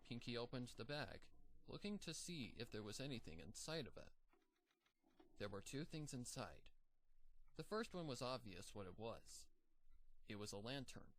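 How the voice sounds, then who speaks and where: A man narrating a fan story aloud at a steady, even storytelling pace. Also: medium pitch, normal speed, long pauses, slight background noise.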